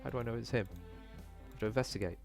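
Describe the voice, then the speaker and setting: A man's voice: mid-range voice, fast, vocal fry, faint music behind, close to an everyday voice. A man voicing an anxious, self-doubting young cat student who talks fretfully to himself in a visual novel. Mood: weary searching.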